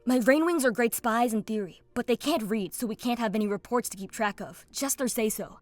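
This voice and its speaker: A woman's voice: on the high side, brisk, no echo, soft background music, natural voice. A woman playing a cool, pragmatic female dragon queen in an audio drama, with a commanding manner. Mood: businesslike.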